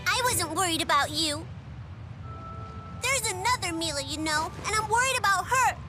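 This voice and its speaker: A woman's voice: very high voice, expressive, normal speed. An actress voicing an earnest, stubborn little girl in a video game, with a childish, easily hurt manner. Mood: stubborn, defensive. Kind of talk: English video game voice acting. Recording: loud game music behind the voice.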